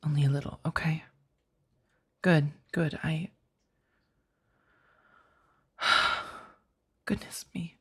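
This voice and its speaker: A woman voicing an ancient, protective vampire in an ASMR roleplay, with a breathy husky voice and a measured, formal, hushed delivery. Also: sits low, steady tone, exaggerated voice. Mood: tender, anxious. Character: ancient female vampire, protective, stern, tender to her beloved. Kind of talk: ASMR roleplay audio drama.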